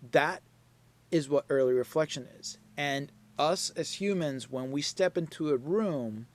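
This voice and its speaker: A man at a medium pitch gives a live lesson on mixing. He takes his time, explains one step after another, and keeps checking that his students follow. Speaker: mixing instructor. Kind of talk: live online class lecture.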